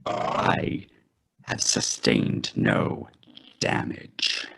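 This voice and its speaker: A man voicing a cold, emotionless alien machine with a croaking, halting monotone in a radio play reading. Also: background noise. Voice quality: croaking, gravelly, rasping.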